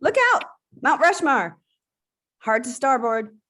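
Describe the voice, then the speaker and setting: A woman playing a bossy, excitable eight-year-old adventurer girl in a table read of an animated film, with a brash, commanding delivery. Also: high voice, slow, a little hiss or hum, full character voice. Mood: commanding, playful.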